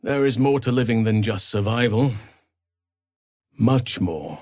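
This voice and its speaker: An actor playing a calm, wise elf druid in a video game, speaking in a measured, earnest and formal way. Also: male voice, mid-range voice, no room sound, clean studio sound, natural voice. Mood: earnest, firm. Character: calm, wise, burly elf druid man with an animal side. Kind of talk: video game character voice lines.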